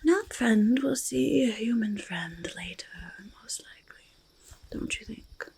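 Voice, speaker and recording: A woman voicing a curious, friendly forest goblin in a soft ASMR roleplay, speaking haltingly in breathy whispers and creaky murmurs, repeating words. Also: fairly high pitch, expressive, slight background noise. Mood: friendly, content. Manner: halting, third-person speech with doubled words. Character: curious, eager, friendly forest goblin who hoards treasure.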